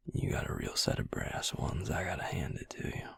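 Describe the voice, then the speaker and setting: A man acts out a protective, wry male vampire in an intimate audio roleplay. His voice is low and unhurried, sunk into a heavily fried, husky half-whisper. He sounds exasperated yet close, speaking straight to the listener in a dry, tight space. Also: a bit bright, dry recording, full character voice. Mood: wry, probing. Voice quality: low, gravelly, breathy near-whisper.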